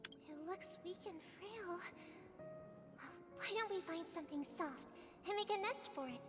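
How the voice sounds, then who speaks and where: A kind, idealistic little sister in a game story scene. Her voice is very high and tender. It rings with long reverb over clearly audible music. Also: unhurried. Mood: gentle, concerned. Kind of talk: video game cutscene acting.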